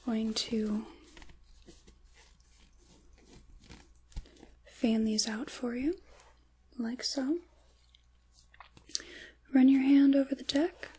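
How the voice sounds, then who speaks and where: A woman playing a kindly, caring witch in a soft, intimate ASMR tarot roleplay, largely whispered, with a gentle, reassuring manner. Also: high voice, steady tone, takes it slowly, slightly rough, exaggerated voice. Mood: gently mystical.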